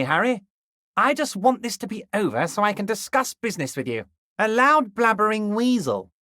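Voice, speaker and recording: A scheming middle-aged union boss from a video game, talks with a high, lilting voice that swoops up and down. He is sugary and falsely friendly, and he dips down low whenever he says his listener's name. The sound is close and dry, with no room around it. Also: a bit bright, no echo. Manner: sing-song and falsely friendly, sinking low on the name he keeps repeating. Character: smooth-talking, manipulative middle-aged male union boss. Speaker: game character.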